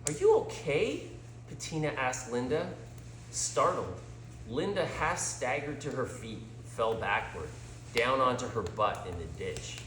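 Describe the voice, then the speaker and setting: A man reading a story aloud as a storyteller, with deliberate, theatrical dramatic delivery. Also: medium pitch, background noise. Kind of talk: scripted story narration, dramatic read-aloud. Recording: roomy, echoing sound.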